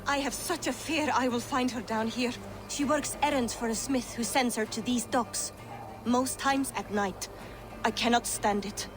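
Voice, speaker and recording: A woman's voice: on the high side, steady tone, measured pace, music in the background, lightly acted. An actress playing an anxious, fierce mother searching for her missing daughter.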